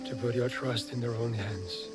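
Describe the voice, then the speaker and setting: A man's voice: medium pitch, fairly even intonation, moderate pace, loud music behind the voice, lightly acted. An actor playing a courteous, devout European man in a video game, speaking in a formal, reflective way. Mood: solemn, confiding. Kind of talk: video game cutscene acting.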